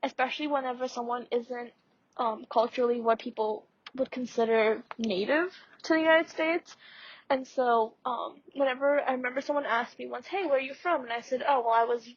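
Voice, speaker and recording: A woman's voice: on the high side, fairly even intonation, normal speed, slightly rough. A young woman from India, a student mentor chatting casually in a podcast discussion with lots of fillers. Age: young adult. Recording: dull lo-fi audio.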